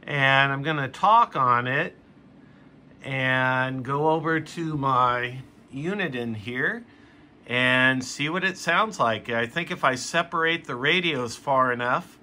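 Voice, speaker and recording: A man's voice: medium pitch, bright, forceful tone. An American man giving casual how-to narration as a hobbyist video host, recorded in a room at home.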